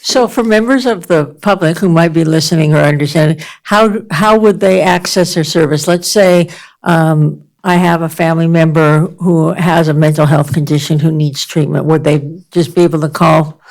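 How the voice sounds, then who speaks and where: A woman's voice: low-pitched, steady tone, moderate pace, vocal fry, darkish tone, rough recording. A woman board member at a public meeting, asking polite questions for the public's benefit. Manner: polite, courteous. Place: government board meeting chamber.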